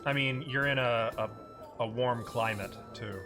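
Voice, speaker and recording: A man's voice: mid-range voice, steady tone, unhurried, bright, forceful tone. A man acting as game master, improvising and talking casually with the players at a tabletop game. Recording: music playing behind the voice. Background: music.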